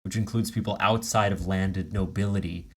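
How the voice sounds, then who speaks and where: A man's voice: low voice, fairly even intonation, normal speed, noisy background. A man hosting a casual comedy podcast quiz, playfully teasing the others as he goes. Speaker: comedy podcast host and quizmaster.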